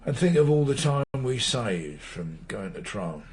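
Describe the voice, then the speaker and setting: An older man, an actor playing a weary, brooding veteran police detective with a dry, measured, probing manner in a radio drama. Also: medium pitch, very animated, a little mellow, slightly rough, heavily acted. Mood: dry, defensive. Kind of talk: naturalistic radio drama acting.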